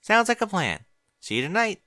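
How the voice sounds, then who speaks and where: A man's voice: high-pitched, big pitch swings, normal speed, pushed and bright, dry recording, voice pushed up, full character voice. A man voicing a cheerful, studious young unicorn mare in a fan fiction audiobook, speaking politely. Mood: friendly. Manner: polite, bookish.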